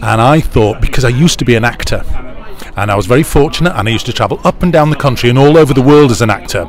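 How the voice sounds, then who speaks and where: British man, middle-aged, medium pitch, enthusiastic interviewee, chatty, background music. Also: expressive, fast. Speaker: pantomime producer, interviewee. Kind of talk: interview answers. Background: music clearly audible.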